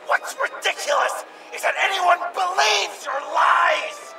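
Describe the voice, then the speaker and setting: An actor voicing a manic, indignant clownish villain who believes himself a hero, with theatrical, emphatic delivery in a video game. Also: male voice, extremely high pitch, slow pace, pushed and bright, raised voice, distant mic, faint music behind, a faint crowd, heavily acted. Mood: furious accusing.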